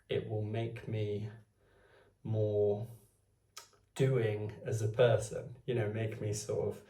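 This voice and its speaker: Guy in his 20s, gravelly low voice, just rambling thoughts to his phone, roomy echo. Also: mellow, distant mic. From Wales. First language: Welsh. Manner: meandering, thinking aloud. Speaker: vlogger. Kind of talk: unscripted musing to camera.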